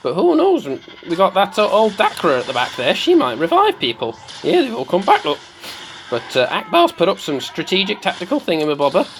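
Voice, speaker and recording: Someone putting on the voice of a slightly mad, excitable woman doing theatrical video game commentary, repeating phrases for effect. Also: mid-range voice, a little fast, slight background noise, rough recording. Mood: excitable, curious. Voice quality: put-on comic voice. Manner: theatrical, repeats phrases, swoops between squeals and murmurs.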